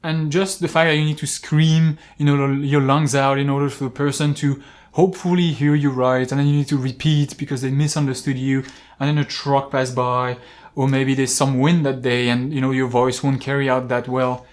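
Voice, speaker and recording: A man talking casually as an outdoor climbing vlogger, explaining gear with examples in a halting, rambling way. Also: mid-range voice, little pitch movement. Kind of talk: casual product-talk vlog, explaining with examples.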